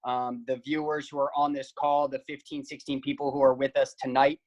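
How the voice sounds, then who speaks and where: Male co-host on a video call, upbeat and hype, mid-pitched, talks loosely with fillers. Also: brisk. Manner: energetic, hype, frequent fillers. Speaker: podcast co-host. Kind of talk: upbeat interview hosting.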